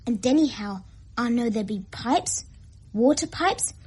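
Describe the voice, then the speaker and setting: High, husky, eager little boy. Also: measured pace. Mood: eager, excited. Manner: breathless chattering, excitable. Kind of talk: radio drama acting.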